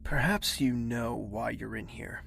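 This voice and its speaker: A man's voice: medium pitch, vocal fry, a bit bright, soft background music. Man playing an imperious young villain prince. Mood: quietly menacing. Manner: formal, commanding, deliberate. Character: bitter young male prince, self-declared villain, imperious and menacing. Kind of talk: audio drama acting.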